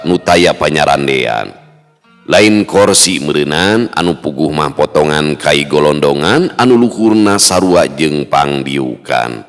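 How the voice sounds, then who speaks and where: A man with a West Javanese accent telling a dramatic folk tale in a measured, theatrical way. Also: extremely low pitch. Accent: Sundanese-speaking West Java. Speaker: storyteller. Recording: long hall reverb, music underneath.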